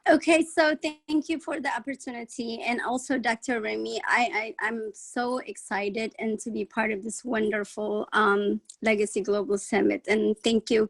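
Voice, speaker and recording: A middle-aged woman from Ethiopia, a record label head, talking casually as a panel guest over a video call, halting with many pauses and restarts. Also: a little high, dry recording.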